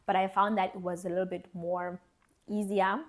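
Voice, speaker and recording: A young woman from South Africa speaks to the camera in an earnest, reflective vlog, at a middle pitch. She thinks aloud, pausing and restarting as she weighs her words. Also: level tone. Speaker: vlogger. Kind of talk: candid reflective monologue.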